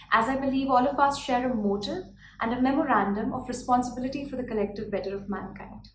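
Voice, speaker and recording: An earnest young woman with an Indian accent delivers a prepared speech, declaiming her points and posing rhetorical questions. Her voice sits a little high. The room is echoey, with faint noise behind her. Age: 20s. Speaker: speaker.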